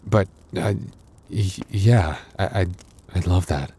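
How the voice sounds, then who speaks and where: A man voicing a tender, bashful young werewolf boyfriend in an intimate ASMR roleplay, halting and stammering, with breathy little laughs and sighs. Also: sits low, mellow, full character voice. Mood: flustered, affectionate.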